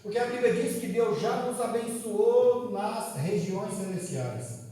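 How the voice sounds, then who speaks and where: A Brazilian man preaches with a high, mellow voice, firing rhetorical questions at the room and sliding between soft asides and loud appeals. He sounds far from the mic, his words ringing around a big church hall with a long, booming echo. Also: a little slow. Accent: Brazilian Portuguese. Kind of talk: evening sermon. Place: large echoing church hall.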